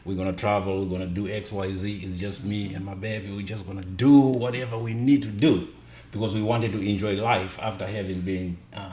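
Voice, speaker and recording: A man's voice: fairly low pitch, steady tone, a little fast, mellow, reverberant, slight background noise. A middle-aged man from Zimbabwe, talking earnestly as a podcast guest and repeating key phrases for emphasis. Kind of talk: casual conversation.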